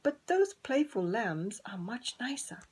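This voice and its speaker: Woman voicing a high, sing-song wistful mother hen. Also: big pitch swings, a little slow, a little mellow, slight background noise. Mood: sad, envious. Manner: sing-song questions, sighing laments. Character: wistful, broody mother hen, gentle and easily saddened. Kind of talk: children's storybook character voice.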